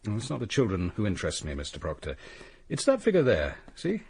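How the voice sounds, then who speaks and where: Husky, controlled police inspector. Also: male voice, lowish voice, wildly swooping pitch, fast, darkish tone, heavily acted. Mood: probing, controlled. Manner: formal, probing, controlled. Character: coolly courteous, relentless male police inspector. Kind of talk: radio drama acting.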